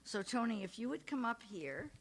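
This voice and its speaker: An older woman with a loud voice, welcoming residents and interviewing a guest at a community gathering in a retirement home's meeting room. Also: fairly high pitch, very animated, moderate pace, creaky. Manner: loud voice, warm welcoming announcer tone, laughs often. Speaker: community committee member introducing a guest.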